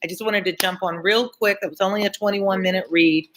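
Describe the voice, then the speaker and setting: A woman's voice: mid-range voice, steady tone, fairly quick. A woman tutoring on a live read-along of a classic novel, reading aloud and adding informal explanatory asides for listeners.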